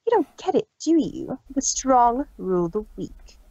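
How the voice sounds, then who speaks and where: A woman's voice: fairly high pitch, sing-song, measured pace, dark tone, slightly rough, no room sound, lo-fi sound, heavily acted. A woman voicing a cruel, arrogant big-sister mare villain in a dramatic story reading, taunting and condescending. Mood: gloating. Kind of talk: dramatic fan fiction reading.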